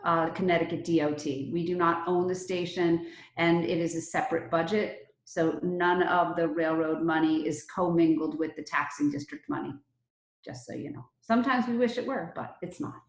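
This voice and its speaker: A woman's voice: fairly low pitch, steady tone, moderate pace. An American woman giving an informal report as a local commissioner at a public meeting held over video call. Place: indoor room, Zoom video meeting. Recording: roomy, echoey video call audio.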